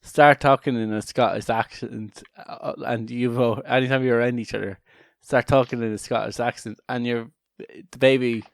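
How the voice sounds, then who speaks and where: A man's voice: mid-range voice, steady tone, moderate pace, gravelly, no room sound, studio quality. A man co-hosting a casual comedic podcast, talking in a rambling, irreverent way with many restarted sentences. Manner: joking, irreverent. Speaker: podcast co-host.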